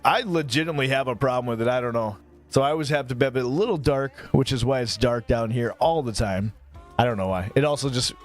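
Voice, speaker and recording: Rambling man in his thirties streaming live. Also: medium pitch. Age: 30s. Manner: rambling, relaxed, thanks viewers by name. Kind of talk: casual live-stream chat.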